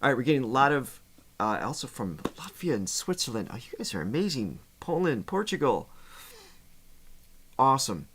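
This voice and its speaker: Man, middle-aged, livestream host, friendly, joking, casual chat, medium pitch. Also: sing-song, normal speed, a bit bright, slight background noise. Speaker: host of a music reaction livestream.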